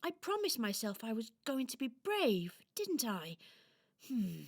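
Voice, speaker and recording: A woman's voice: fairly high pitch, very animated, unhurried, no room sound, clean studio sound, full character voice. A woman playing a timid, earnest young female hero who is trying to be brave, hesitant and wondering, in a children's radio play. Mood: nervous resolve.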